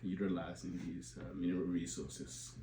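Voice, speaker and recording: A man, addressing his organization's supporters in an unscripted talk, pausing often with filler sounds and restarts. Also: low voice, level tone, warm tone. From Liberia. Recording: roomy echo, faint background hum.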